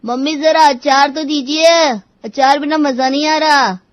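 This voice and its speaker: A woman voicing a spoiled, lazy young boy with a wheedling manner in an animated story. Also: sits high, measured pace, no echo, voice pushed up.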